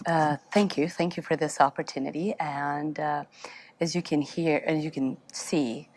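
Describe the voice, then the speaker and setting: A woman from Serbia, a therapist answering interview questions, halting and searching for words. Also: low-pitched, steady tone, darkish tone. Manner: speaks with a foreign accent, halting, searching for words. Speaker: therapist guest.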